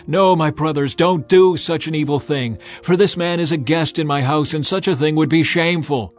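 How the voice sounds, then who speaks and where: A man's voice: a little high, normal speed, voice pushed up, natural voice. A man voicing a hospitable, protective old man who speaks with earnest emphasis in a dramatized scripture reading. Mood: urgent pleading. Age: older. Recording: music bed under the voice.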